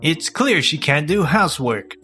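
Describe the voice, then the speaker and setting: A selfish, lazy young husband voiced by a man in a manga dub, with very lively, swooping intonation over faint music. He sounds smug and dismissive, sliding into whiny self-justification. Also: a little high, moderate pace. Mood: smug, shameless.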